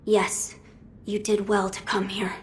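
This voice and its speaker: A woman voicing a young noble boy lord in a video game, formal, measured and earnest. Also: medium pitch, completely flat delivery, slight background noise, close to an everyday voice. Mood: grave resolve. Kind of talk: video game cutscene acting.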